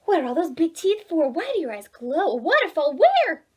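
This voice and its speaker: A little boy owl from a children's storybook, acted out by a woman, pitched high and swooping with excitement. He is endlessly curious and fires off eager questions. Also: moderate pace. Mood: excited, curious. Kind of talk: children's storybook read aloud.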